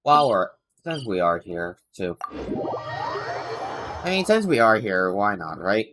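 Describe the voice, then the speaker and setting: A man with a fairly low voice talks casually through a game on a livestream. He speaks haltingly, half muttering to himself as he reacts, with game music clearly playing behind him. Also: big pitch swings. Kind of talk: casual game commentary, reading dialogue aloud. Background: video game music.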